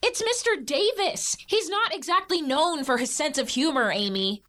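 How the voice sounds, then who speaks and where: A woman's voice: sits high, animated, moderate pace, sharp tone. An actress in an audio drama playing a harried, caring working mother with a frank, conversational, wry manner. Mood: exasperated, wry.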